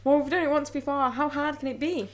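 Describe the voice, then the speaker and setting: A woman's voice: high-pitched, fast, lightly acted. A woman playing a brave, determined young heroine in a fantasy radio drama, earnest and resolute.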